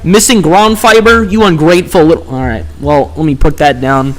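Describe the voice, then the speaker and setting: Guy streaming a game, kinda high voice, chatty and grumbly, some music in the back. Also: moderate pace, poor audio. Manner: chatty, mutters to himself, scolds the game. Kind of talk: casual gameplay commentary.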